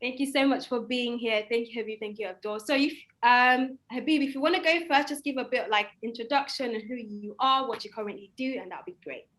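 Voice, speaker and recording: Young woman with a higher voice, chatting casually. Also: a little fast, few pauses, fairly bright tone. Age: young adult. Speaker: student host of a live online event. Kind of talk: casual, chatty livestream talk.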